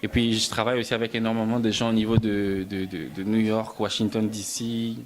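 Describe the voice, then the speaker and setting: A man from Belgium answering interview questions about his music and films, soft-spoken, often stumbling and repeating words. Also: lowish voice, little pitch movement, brisk. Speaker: musician and film writer, interview guest.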